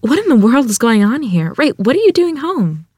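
A woman's voice: a little high, big pitch swings, normal speed, darkish tone, slightly rough, no echo, clean studio sound. A woman playing a modest, secretive rural wife in a dramatic script reading. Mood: surprised, sheepish. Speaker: actress at a screenplay table read.

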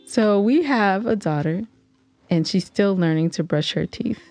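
A woman's voice: medium pitch, a little slow, darkish tone, no room sound, soft background music. A woman co-hosting a casual podcast, telling stories in a giggly, teasing way. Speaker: podcast co-host. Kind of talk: casual teasing banter and storytelling.

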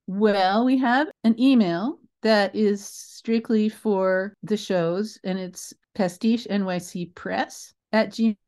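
A woman's voice: mid-range voice, fairly even intonation, takes it slowly, few pauses, darkish tone. A woman speaking as an interview guest in a casual, rambling and enthusiastic way about creative work.

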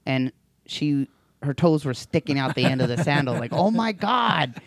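A man in casual chat, talking in a chatty, giggly way and telling animated stories. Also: fairly high pitch, normal speed, vocal fry, dry recording.

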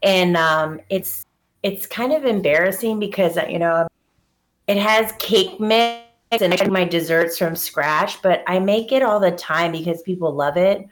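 A woman's voice: lowish voice, measured pace. A young adult woman from Hawaii, chatting casually as a podcast guest and telling stories with animation. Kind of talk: casual chat.

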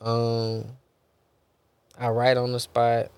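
A man's voice: medium pitch, completely flat delivery, extremely slow, a little mellow, clear, resonant tone, no room sound. A young adult man, a gospel rapper talking loosely in a casual interview, rambling and dropping bro and man often.

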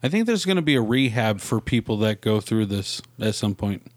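Lively man bantering on a podcast. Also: lowish voice, fairly quick, dry recording. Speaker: podcast co-host. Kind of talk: casual chat.

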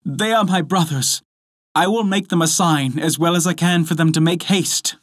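A man's voice: moderate pace, no room sound, close to an everyday voice. A man voicing a frightened young wife in a storybook, imploring and distressed. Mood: anxious hope. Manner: pleading, imploring, high-pitched delivery.

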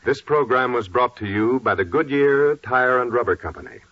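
A man working as a network announcer, reading formally and with measured delivery in 1940s radio style. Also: mid-range voice, no echo, faint music behind. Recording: muffled old 1940s radio broadcast.

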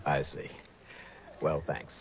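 An actor playing a wry, hardboiled investigator on a 1960s radio drama, who also narrates, speaking in a dry, clipped, wisecracking way. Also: male voice, slow, natural voice. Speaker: narrator and lead. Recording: dull old radio broadcast with music.

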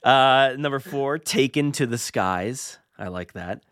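A man hosting a casual podcast, talking informally and haltingly as he thinks aloud. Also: medium pitch, animated, a bit bright, no echo, studio quality. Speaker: podcast host.

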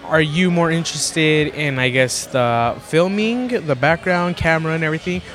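A man's voice: fairly high pitch, moderate pace, fairly bright tone, faint music behind. Young man interviewing, friendly and encouraging. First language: Spanish. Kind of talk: casual interview.

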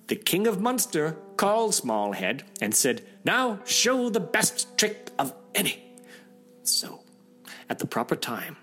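A man reads a fairy tale for children in an animated way, with dramatic pauses and playful asides. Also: a little high. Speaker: story reader. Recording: music behind the voice.

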